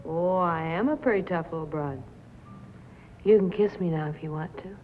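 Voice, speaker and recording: An actress playing a tough, bitter young nightclub singer who drinks, with an American accent and a sardonic, drawling delivery, in a 1950s film drama. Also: female voice, on the low side, moderate pace, natural voice. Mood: boozy, provocative. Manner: sardonic, drawling, dwelling on and repeating words. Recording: tinny, hissy 1950s film soundtrack.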